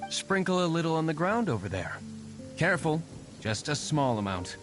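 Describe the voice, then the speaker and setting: Calm posh winery lord, formal, lively intonation, faint music. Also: male voice, a little high. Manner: formal, measured, calmly authoritative. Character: composed, aristocratic young male winery owner. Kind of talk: game cutscene voice acting.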